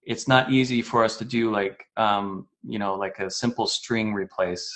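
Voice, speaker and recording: Man explaining in a measured tone. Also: lowish voice, fairly even intonation, a bit bright. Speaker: guest expert on translation. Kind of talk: online interview answers.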